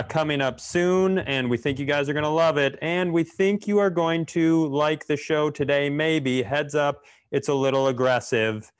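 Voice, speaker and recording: Clear-voiced man bantering on a comedy podcast. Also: a little high. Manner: self-deprecating, rambling. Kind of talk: casual comedic banter and announcements.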